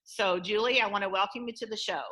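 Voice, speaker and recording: A woman's voice: medium pitch, fairly even intonation, quick pace, bright, forceful tone, poor audio. A woman from Michigan hosting a podcast interview, chatty and welcoming, talking over a remote call. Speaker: podcast host.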